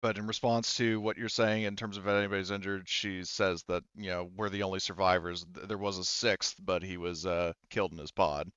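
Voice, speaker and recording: A man narrating a tabletop roleplaying game as game master, in a halting, rambling way as if thinking aloud. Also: sits low, flat delivery, a bit bright, smooth, dry recording, highs cut off.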